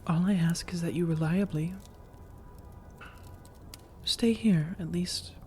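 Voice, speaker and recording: A woman playing an elegant, long-lived female vampire in a soft-spoken ASMR roleplay, breathy and often whispering, with measured, formal, persuasive phrasing. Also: fairly low pitch, soft background music. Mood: reassuring. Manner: measured, deliberate, formal, coaxing.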